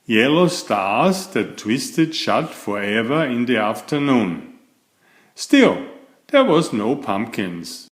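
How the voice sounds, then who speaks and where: A man narrates a children's picture book at an unhurried, measured pace. His pitch rises and falls in lively swings as he reads expressively for young listeners. The room adds a noticeable echo around his voice. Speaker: storyteller, children's author and channel host. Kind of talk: read-aloud children's bedtime story narration.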